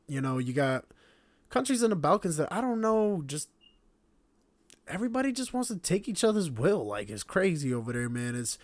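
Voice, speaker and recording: A man, hosting a podcast in a relaxed, proud monologue to camera. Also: on the high side, dry recording. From born in Slovenia, raised in Connecticut. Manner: relaxed, proud, repetitive phrasing. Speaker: podcast host. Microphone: camera mic.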